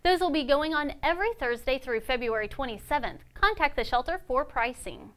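A young woman anchoring a local news update in a studio, reading announcements in a crisp, even newsreader style. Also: sits high, brisk. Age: young adult.

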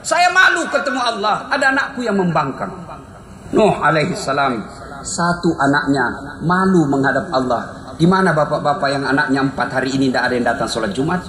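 A middle-aged Sulawesi-accented preacher delivers a Friday sermon into a stand mic, his high, bright, forceful voice ringing through an echoey mosque hall. He tells dramatic stories and throws rhetorical questions at the congregation, dropping to a hush and then rising again. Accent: Indonesian from Sulawesi. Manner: dramatic storytelling preacher, rhetorical questions, swings from loud to hushed. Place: mosque stage, indoors. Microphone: stand mic at lectern.

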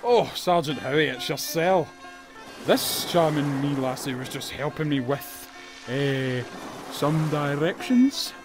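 A man's voice: fairly high pitch, slow, music in the background. An actor playing a cheeky, flirtatious Scottish man in an audio drama, slyly jovial. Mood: cheeky, evasive. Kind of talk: full-cast audio drama acting.

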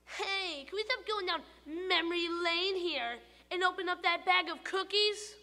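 A woman voicing a pushy, greedy talking sweet tooth that nags loudly for candy in a storybook. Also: very high-pitched, a little slow, big-room sound, pitched above the performer's own voice, heavily acted. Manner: brash, wheedling, loud.

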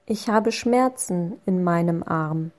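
A woman reading short phrases slowly and clearly, saying each one twice for learners. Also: fairly low pitch, a little mellow. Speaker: language lesson voice. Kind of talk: slow repeated phrase reading.

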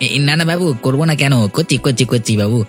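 A man voicing a hapless, timid farmhand in a cartoon story, speaking in a hurried way. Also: medium pitch, music in the background, natural voice. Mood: eager, nervous. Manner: hurried, stammering at times. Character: hapless, timid male farmhand who grumbles about work.

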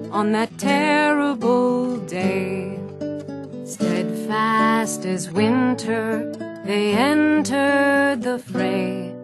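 A woman performing as a female tavern bard, singing heroic ballads in a fantasy video game. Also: fairly high pitch. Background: plucked string instrument music.

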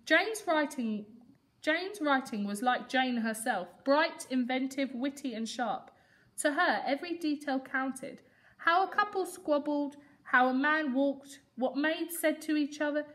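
Young female early years teacher, slightly high voice, expressive read-aloud for small children. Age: young adult. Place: school classroom reading corner, indoors.

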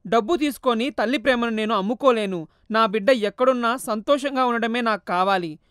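A gentle, sorrowful mother's ghost speaks softly to her son, as a woman puts her on. Her voice is high and tender, recorded dry and close, as in a storybook cartoon. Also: normal speed, smooth, no echo, natural voice. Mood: tearful. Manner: soft, tender, tearful. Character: poor loving mother, gentle sad ghost.

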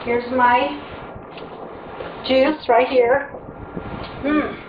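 A woman's voice: high voice, steady tone, drawn-out words. A middle-aged woman hosting a home cooking video, chatting casually and making self-deprecating asides as she works. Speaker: home cooking show host. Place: home kitchen, indoors. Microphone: fixed camera across the room. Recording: echoey, distant, dull, noisy home video.

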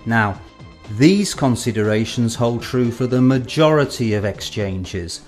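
A man presents scripted educational material in a medium-pitched voice. He explains in a measured way and lets phrase endings stretch out. Light guitar music plays underneath him. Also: expressive.

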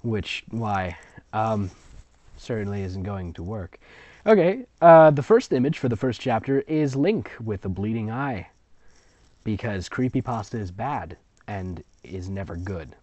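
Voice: medium pitch, expressive, a little slow, a little mellow, no room sound. A sarcastic, rambling reader giving mocking commentary while reading fan fiction aloud, on dull lo-fi audio. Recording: dull lo-fi audio, close and dry.

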